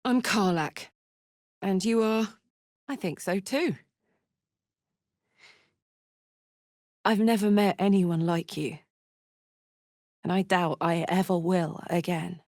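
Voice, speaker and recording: A woman voicing a brash, warm-hearted fantasy warrior in a video game, blunt, informal and expressive. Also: on the low side, unhurried, long pauses, dry recording, clean studio sound. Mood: warm, tender. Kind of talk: video game character voice acting.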